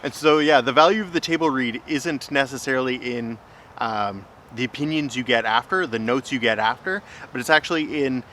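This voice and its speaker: A man's voice: medium pitch, normal speed, pushed and bright, a little hiss or hum. A man hosting a casual vlog, explaining things in a conversational way. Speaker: host, filmmaker.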